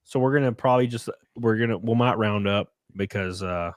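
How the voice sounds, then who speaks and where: A man's voice: on the low side, a little fast, no echo. Sniffly, tipsy man streamer, dry mic. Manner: rambling, profane, excitable when thanking donors. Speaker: streamer host. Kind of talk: casual livestream chat, reading donations.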